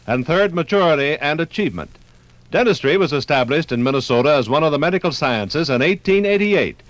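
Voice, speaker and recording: A man reading scripted 1950s radio documentary narration in a formal, measured, precise manner. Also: on the high side, lively intonation, dry recording.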